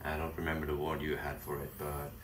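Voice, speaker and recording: A man with a Norwegian accent explains a construction schedule in short, plain sentences. His voice is very deep. It comes through distant, echoey call audio with faint noise behind it. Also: monotone, quick pace, a bit bright. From Norway. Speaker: construction project manager. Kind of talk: explaining work on a video call.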